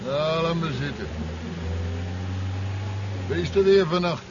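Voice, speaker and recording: An actor playing a gruff, nosy, sceptical truck driver in a 1970s Dutch radio play, speaking in a wry, casual, probing way. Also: male voice, fairly high pitch, takes it slowly. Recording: dull, very noisy 1970s radio drama recording.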